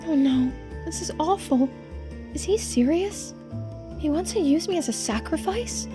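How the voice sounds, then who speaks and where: A woman puts on a sweet young visual novel heroine with a very high voice. She sounds anxious and earnest, hesitant yet stubborn, with music playing behind her. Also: lively intonation, measured pace. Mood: worried. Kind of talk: visual novel character voicing.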